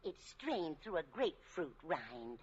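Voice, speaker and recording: A woman's voice: low voice, big pitch swings, a little slow, crowd noise. A woman playing a dotty, chatty landlady fussing over guests in an old radio comedy. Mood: cheerfully dotty. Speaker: actress in radio sitcom. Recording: very noisy, dull old radio recording.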